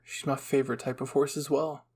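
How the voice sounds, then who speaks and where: A man's voice: mid-range voice, flat delivery, fairly quick, gravelly, darkish tone, breathy edge. A man reading scripted first-person narration as a storyteller.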